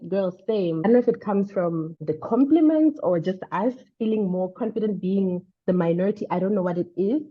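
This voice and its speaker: A woman from Botswana answers interview questions in a candid, lively way. Her voice sits mid-range, and the recording sounds a little dull and lo-fi. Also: medium pitch, breathy edge.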